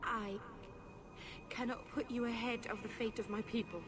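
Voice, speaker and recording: A woman's voice: a little high, fairly even intonation, normal speed, lightly acted. An actress playing an innocent, torn young female elf in a video game, earnest and hesitant. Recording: ambient noise.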